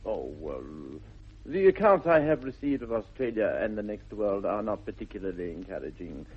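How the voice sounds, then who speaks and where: Suave, animated English dandy. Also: male voice, fairly low pitch, normal speed, slightly rough. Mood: mock dismay. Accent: upper-class English. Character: suave, flippant, rakish young English dandy. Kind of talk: 1960s radio comedy-of-manners acting. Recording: dull, muffled old radio broadcast.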